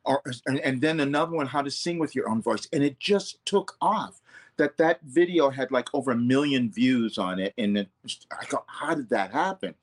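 A man's voice: mid-range voice, animated, normal speed. A man, a vocal coach, talking earnestly in an interview, often correcting himself mid-sentence. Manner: earnest, loose, self-correcting. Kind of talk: reflective interview talk.

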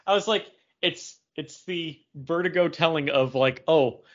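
A man's voice: a little high, measured pace, a bit bright. A man chatting casually as a podcast co-host, with a wry, joking manner. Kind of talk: casual chat. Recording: dull lo-fi audio.

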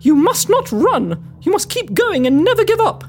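A woman's voice: very high voice, big pitch swings, normal speed, a little hiss or hum. A woman playing a haughty, indomitable older Victorian lady adventurer with a pompous, dramatic manner in a comic period drama. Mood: resolute. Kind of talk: spoken logbook narration, comic period drama.

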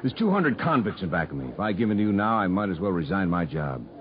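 A man playing a blunt, commanding prison camp captain in a 1950s radio drama, unyielding and husky-voiced. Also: low-pitched, sing-song, fast talker. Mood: stern, angry. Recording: muffled vintage radio broadcast.

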